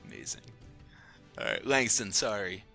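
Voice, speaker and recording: Bright-voiced male game master. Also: fairly low pitch, moderate pace, faint music behind. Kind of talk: improvised tabletop game narration.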